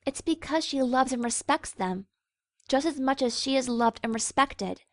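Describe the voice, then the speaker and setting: A woman acting as a young cartoon mare with a high voice, recorded close and dry. She is sensible and sardonic, scolding gently in a matter-of-fact way. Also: expressive, normal speed, no echo. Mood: earnest. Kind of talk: cartoon fan fiction voice acting.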